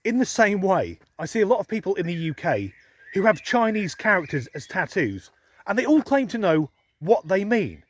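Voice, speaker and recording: A man's voice: sits high, big pitch swings, moderate pace, a little hiss or hum. An English man vlogging to a handheld camera, grumbling with dry, sweary humor. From England. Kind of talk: sardonic vlog monologue. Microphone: handheld camera filming himself.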